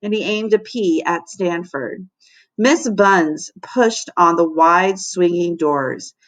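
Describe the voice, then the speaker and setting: A woman teacher narrating a children's storybook aloud in an expressive, dramatic way. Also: lowish voice, takes it slowly, rough recording. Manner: animated, dramatic.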